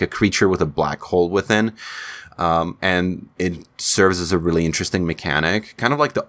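Man, very low voice, casual game recap, rambling, restarts mid-word. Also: fairly bright tone. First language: Czech. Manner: rambling, searches for words, frequent restarts. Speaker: video game commentator.

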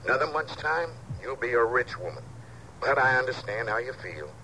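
A man playing a smooth-talking swindler who feigns kindly friendship, in a 1950s radio drama. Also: medium pitch, big pitch swings, moderate pace, pushed and bright, low-quality recording. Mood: falsely sympathetic. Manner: folksy, ingratiating. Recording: old radio recording, faint hiss.

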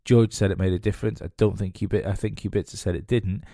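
Sniffly low-voiced man with a cold. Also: steady tone, fast, gravelly, mellow, no echo, studio quality. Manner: rambling, many fillers and restarts. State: has a cold, nasal and sniffly. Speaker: podcast host. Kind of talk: casual solo commentary.

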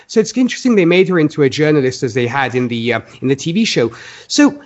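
Higher-voiced man, muffled podcast audio. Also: sing-song, quick pace. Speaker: podcast host. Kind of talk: casual film discussion.

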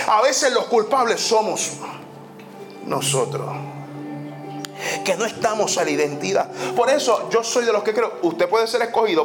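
A man preaching a sermon, emphatic, repeating phrases and dropping in the English word "so". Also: high voice. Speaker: pastor. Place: church sanctuary.

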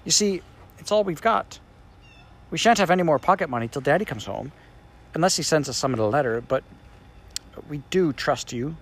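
Man reading an earnest girl, pitched up, coaxing and pleading, very animated. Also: normal speed, long pauses.

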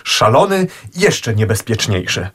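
A young actor playing a hopelessly indecisive young gentleman who hesitates and stammers in a radio play. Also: on the low side, sing-song, a little slow, breathy edge, full character voice. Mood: hesitant. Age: young adult. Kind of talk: radio drama acting.

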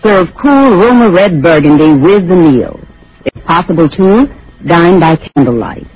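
A man gives a scripted 1940s radio endorsement. His voice is fairly high, husky and animated, on a muffled vintage recording. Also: measured pace, soft background music. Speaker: advertisement speaker.

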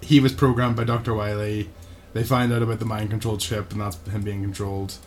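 A man's voice: fairly low pitch, level tone, fairly quick. Sweary man recapping, with noise behind him. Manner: sweary, mocking, tags sentences with "right?". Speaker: podcast co-host recapping a cartoon episode. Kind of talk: casual comedic episode recap chat.

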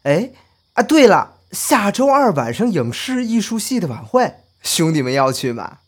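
A woman's voice: fairly low pitch, very animated, moderate pace, breathy edge. A woman playing a chatty, teasing young male college roommate in an audio drama, casual and pushy. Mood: teasing, coaxing. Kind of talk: Chinese online audio drama acting. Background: faint music.

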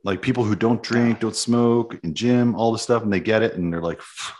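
A man's voice: lowish voice, moderate pace, a little mellow. An easygoing man co-hosting a casual chat, with loose talk full of fillers. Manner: easygoing, says many fillers like "like" and "mm". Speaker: podcast co-host.

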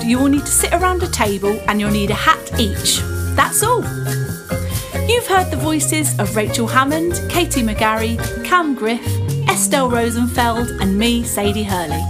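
A woman's voice: on the high side, expressive, moderate pace. A woman delivering a scripted closing announcement, addressing listeners as she reads the credits. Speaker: cast member reading closing credits. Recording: loud music behind voice.

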